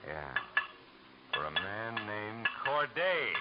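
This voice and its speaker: An actor playing a tough, wry private detective in a 1940s radio drama, terse and commanding. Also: male voice, lowish voice, big pitch swings, slow, heavy background noise. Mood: tough, wary. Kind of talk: 1940s radio acting and first-person noir narration. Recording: dull old radio recording with music.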